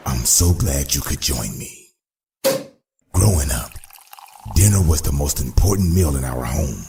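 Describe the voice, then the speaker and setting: An actor playing a suave, menacing crime boss with a measured, formal, theatrical manner in a motion comic. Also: male voice, rumbling low, lively intonation, vocal fry, heavy background noise, heavily acted. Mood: genteel menace. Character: suave, menacing male crime boss villain, probing and polite.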